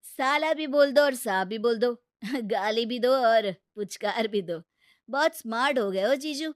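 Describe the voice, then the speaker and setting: A woman's voice: fairly high pitch, a little slow, dry recording, studio quality, lightly acted. A woman voicing a cheerful, proud young man, a successful brother-in-law, in a playful cartoon-story manner. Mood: teasing.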